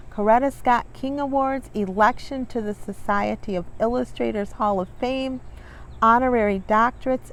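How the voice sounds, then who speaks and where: A woman's voice: medium pitch, a little hiss or hum, poor audio. A woman hosting a children's read-along, reading aloud and talking to viewers in an encouraging way. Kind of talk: storybook read-aloud with warm asides to viewers. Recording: music behind the voice. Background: background music.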